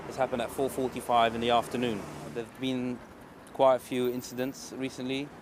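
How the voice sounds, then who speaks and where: A man's voice: medium pitch, monotone, brisk. A man interviewed on the street as a local restaurant owner, recounting incidents. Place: outdoors by a street. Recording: clear street and traffic noise.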